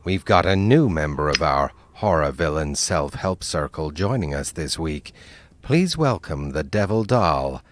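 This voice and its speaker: An actor playing a calm male leader of a villains' support group, speaking in a soothing, patient way. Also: very deep voice, measured pace, exaggerated voice. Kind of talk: comedy sketch acting.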